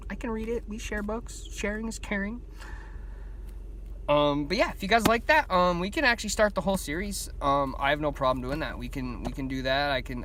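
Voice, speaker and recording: Casual video host: young American male, mid-range voice, rambling and self-deprecating delivery, noisy recording. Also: mid-range voice. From United States. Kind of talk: casual chat to viewers. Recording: clear steady background noise.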